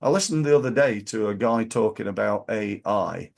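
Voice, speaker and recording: Man, Yorkshire accent, hoarse with fry, casual and emphatic coach, speaking to camera from an office. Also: on the low side, normal speed. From Yorkshire, England. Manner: emphatic, repeats key phrases, many fillers. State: hoarse from flu. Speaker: business coach. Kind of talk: casual to-camera business advice. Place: office, in front of a backdrop screen.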